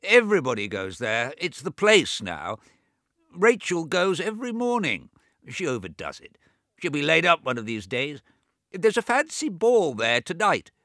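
Brief: a man playing a fussy, money-minded old man near eighty, high thin voice, quick prattle, dry sound. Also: huge pitch swings, pushed and bright, no room sound, heavily acted. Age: 80s.

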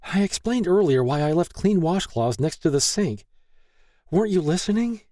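A man's voice: high voice, animated, normal speed, warm tone, no echo, studio quality, pitched above the performer's own voice. A man voicing a frightened, battered mother in an audiobook, pleading, anxious and protective. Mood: wary, anxious. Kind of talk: audiobook character acting.

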